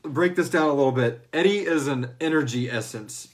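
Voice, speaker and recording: A man's voice: fairly high pitch, moderate pace. An American man chatting casually and jokingly as host of a livestreamed fan Q&A. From Houston, United States. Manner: joking, informal, breaks into playful voices. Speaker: host of a fiction series fan Q&A, its writer.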